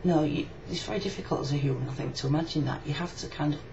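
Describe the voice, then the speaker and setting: A woman's voice: low voice, racing. A woman with a Yorkshire accent talking casually, frankly and reflectively in a personal video reply. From Yorkshire, England. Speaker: video blogger. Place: indoors in a room. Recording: muffled lo-fi webcam audio, clear background noise.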